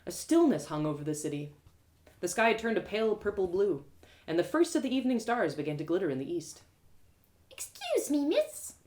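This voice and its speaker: A woman narrating a first-person storybook tale in an expressive, dramatic reading style. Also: lowish voice, brisk. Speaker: author reading own story.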